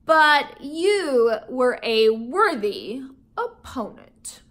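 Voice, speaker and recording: A gruff older man from a children's storybook, short-spoken and grumpy but warming up, read aloud by a woman. His voice sits a little high, with lively rises and falls. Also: drawn-out words, exaggerated voice.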